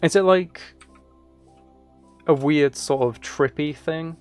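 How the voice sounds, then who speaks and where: A man's voice: a little high, takes it slowly, a little mellow, clear, resonant tone, soft background music. A man chats casually with viewers as he plays a game, rambling and musing aloud. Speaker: live streamer. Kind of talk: casual chat with viewers while gaming.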